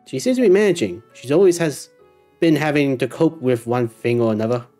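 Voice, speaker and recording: A woman's voice: a bass voice, expressive, a little fast, dark tone, faint music behind. A woman game streamer giving casual commentary as she plays.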